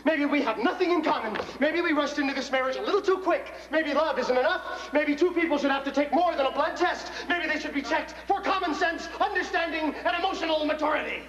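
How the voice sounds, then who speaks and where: An actor playing an exasperated, sensible young newlywed husband, dry and sarcastic, in a stage comedy style. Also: male voice, very high-pitched, fairly quick, few pauses, crowd noise. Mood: weary, irritated. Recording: roomy, distant, muffled stage recording, audience noise.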